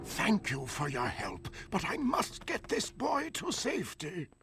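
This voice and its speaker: A man's voice: high voice, huge pitch swings, a little slow, a bit bright, slight background noise, full character voice. A man voicing a mysterious, wise old stranger in a video game, speaking in a theatrical, cryptic and courtly way. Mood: urgent. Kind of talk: animated video game acting.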